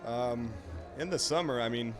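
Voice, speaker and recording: A man's voice: medium pitch, normal speed. Young French-native man, crowd behind. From Quebec. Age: young adult. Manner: earnest, hesitant, restarts sentences. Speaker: guest player. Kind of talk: casual booth interview.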